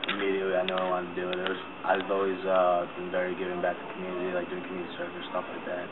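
Monotone young male, quick casual talk, lo-fi noisy camera sound. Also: low voice. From Westchester, New York, United States. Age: young adult. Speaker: college fraternity volunteer. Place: brick-walled indoor lounge. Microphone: camera a short way off. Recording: muffled phone-like audio, noisy room.

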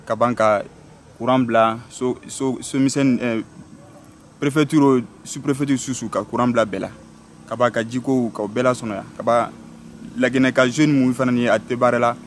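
A young man from Guinea talks very close to the camera out among the trees. His pitch is medium, with faint outdoor sound behind him. He hesitates and restarts as he goes. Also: steady tone, normal speed, slight background noise. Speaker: interviewee. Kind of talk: vox pop answer. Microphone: camera very close to the face.

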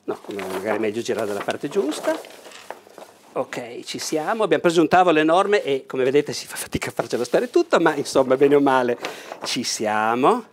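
Older man, enthusiastic board game host, animated explainer, clip-on mic indoors. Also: medium pitch, moderate pace, slight background noise. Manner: animated, leans over table and gestures while explaining. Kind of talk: enthusiastic unboxing talk. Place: bright indoor room with a large table.

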